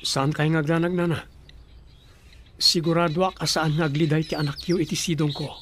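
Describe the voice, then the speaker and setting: A man's voice: a little high, steady tone, normal speed, a bit bright, slight background noise, lightly acted. A man playing an earnest young man standing up for the woman he loves in an amateur radio drama. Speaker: son.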